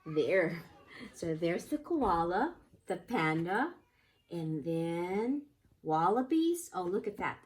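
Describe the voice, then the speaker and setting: A woman teaches young children from a picture book. Her voice sits a little low and she takes her time, with a very slow pace. She keeps explanations simple and often puts questions to the children. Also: very animated. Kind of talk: interactive picture-book read-aloud lesson for young children.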